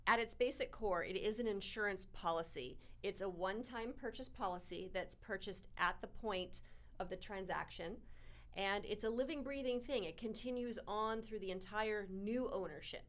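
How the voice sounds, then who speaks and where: A middle-aged woman, a title company representative, giving clear and upbeat answers in an interview. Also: mid-range voice, moderate pace, a bit bright. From born in South Africa, raised in Toronto and Arizona.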